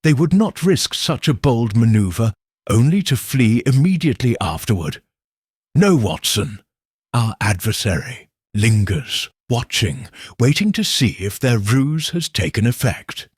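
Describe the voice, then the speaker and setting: A man voicing a calm, incisive middle-aged detective who speaks in a measured, assured way. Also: medium pitch, slightly rough, dry recording, clean studio sound. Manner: measured, deliberate, quietly assured.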